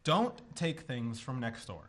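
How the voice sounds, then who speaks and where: An actor playing an easygoing, wisecracking boat dealer in a stage comedy. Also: male voice, mid-range voice, big pitch swings, unhurried, fairly bright tone. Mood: breezy. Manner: breezy, evasive.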